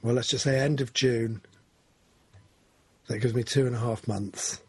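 A man's voice: medium pitch, steady tone, a little slow, husky, no room sound. A man, talking through his goals in a casual, rambling, self-correcting chat. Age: 40s.

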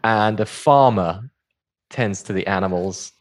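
A man's voice: on the low side, unhurried. A man interviewing as a radio host in a casual, jokey, bantering way. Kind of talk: casual playful interview chat.

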